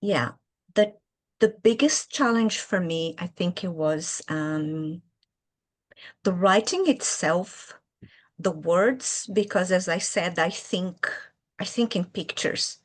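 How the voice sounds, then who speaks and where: A woman from Brazil whose first language is Portuguese, speaking English with a Brazilian accent and answering interview questions in a hesitant way, pausing with fillers as she searches for words. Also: lowish voice. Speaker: guest, children's author.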